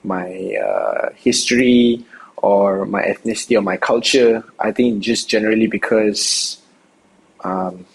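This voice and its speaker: A man's voice: on the low side, steady tone, a little slow, vocal fry, a little hiss or hum, poor audio. A young man from Singapore answering interview questions outdoors in a casual, rambling way, full of fillers and restarts. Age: young adult. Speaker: interview guest, rapper.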